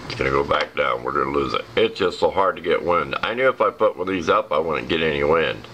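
American male, deep rough voice, folksy unhurried DIY demo, slightly noisy home-video sound. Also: expressive, fairly bright tone. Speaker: hobbyist demonstrating a homemade project. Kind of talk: casual explanatory demo. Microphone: handheld camera, speaker behind it.

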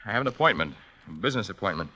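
A young American fencing student in an old radio drama. His low voice moves around a lot, hesitant and evasive. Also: animated, very fast, bright, forceful tone, husky, heavily acted, low-quality recording. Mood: hesitant, uneasy. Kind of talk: 1950s radio drama acting.

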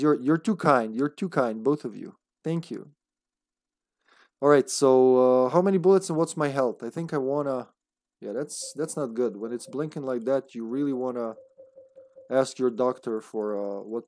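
A man's voice: mid-range voice, moderate pace, a little mellow. A man in his thirties with a Serbian accent, joking and laughing through casual live gameplay commentary. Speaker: streamer.

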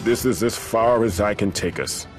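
A man's voice: fairly low pitch, moderate pace, music in the background, lightly acted. An actor playing a wise guardian mask spirit in a cartoon video game, earnest and solemn as he guides a hero. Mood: resolute.

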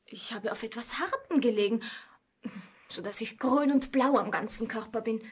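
An actress playing a delicate, polite, weary young princess in a fairy-tale radio play. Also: female voice, fairly high pitch, normal speed, husky. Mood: plaintive.

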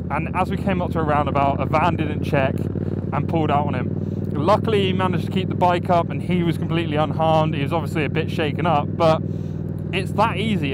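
A young man talking casually about motorcycle gear from his helmet while riding on country roads. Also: a little high. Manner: relaxed, chatty, recommends gear with many fillers. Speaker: motovlogger. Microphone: helmet mic under a full-face helmet. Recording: loud wind and engine noise. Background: motorcycle engine and road wind.

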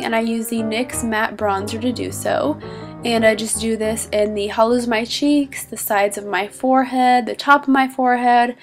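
A young woman with a stuffy cold, chatting upbeat through a makeup tutorial in her small bedroom and naming each product as she goes. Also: on the high side. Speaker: beauty vlogger.

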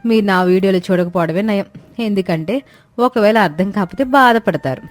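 A woman's voice: medium pitch, normal speed, faint music behind, lightly acted. A woman voicing a vain, demanding young daughter-in-law who speaks haughtily and drops in English words. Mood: haughty. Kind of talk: animated moral-story voice acting.

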